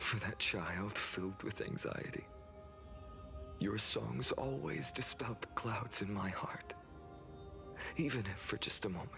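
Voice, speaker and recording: An actor playing a noble, gentle young lord in a video game, formal, measured and earnest. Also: male voice, on the low side, vocal fry. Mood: gentle, warm. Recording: music behind the voice.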